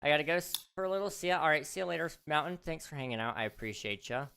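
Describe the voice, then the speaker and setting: A man's voice: on the high side, expressive, rapid-fire, gravelly, noisy background. A man hosting a casual gaming livestream, rambling and chatty with lots of fillers, his voice slightly strained as he starts to lose it. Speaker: livestream host.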